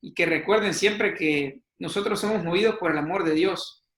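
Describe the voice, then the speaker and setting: A man's voice: high-pitched, moderate pace, fairly bright tone. A young man, a student guest, speaking fluently about love and sending greetings. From El Salvador. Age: young adult. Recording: echoey room.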